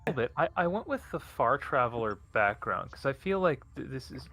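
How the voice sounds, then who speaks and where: A man at a tabletop game, describing his character in a halting way, often restarting his words. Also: mid-range voice, big pitch swings, fairly bright tone. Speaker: player. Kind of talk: casual chat.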